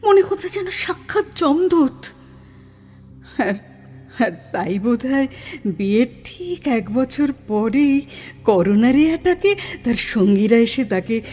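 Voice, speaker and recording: A breathy, wistful female ghost. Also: on the high side, a little mellow, exaggerated voice. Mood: anguished, then confiding. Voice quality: sweet-voiced, breathy. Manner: slow, plaintive, dramatic pauses. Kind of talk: Bengali audio horror drama acting. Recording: music under the voice.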